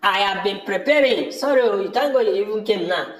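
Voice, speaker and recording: A man's voice: sits high, lively intonation, brisk, a bit bright, reverberant. A man in an amateur audio drama playing a brother, speaking in an emphatic and repetitive way. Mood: dismissive, fed up. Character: welcoming but disillusioned brother, fed up with farming.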